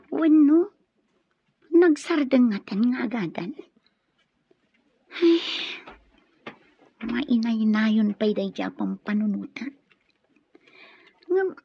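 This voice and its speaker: A woman's voice: fairly high pitch, creaky, breathy edge, full character voice. A woman acting a lovestruck, wistful young student in an Ilocano radio drama, with emotive, hesitant delivery. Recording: dull lo-fi sound, roomy echo.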